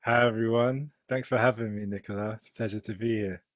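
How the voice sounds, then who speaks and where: Low-voiced London man, thoughtful. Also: fairly even intonation, fast talker, no echo, clean studio sound. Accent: London English. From London, England. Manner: thoughtful, many ums and restarts. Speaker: guest, musician and author. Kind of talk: relaxed conversational interview.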